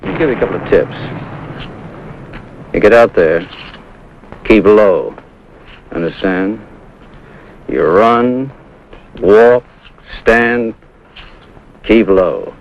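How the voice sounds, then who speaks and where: An actor playing a tough, blunt, seasoned American Marine with terse, slangy speech in a 1950s war drama. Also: male voice, lowish voice, animated, slow pace, creaky, pauses often, full character voice. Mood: blunt, grim. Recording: muffled 1950s TV soundtrack, faint noise.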